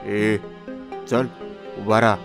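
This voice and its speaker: A man's voice: lowish voice, flat delivery, very slow. A man playing a stern exam invigilator in a dramatised story, giving curt, commanding orders. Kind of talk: dramatised horror story acting. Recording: music behind the voice.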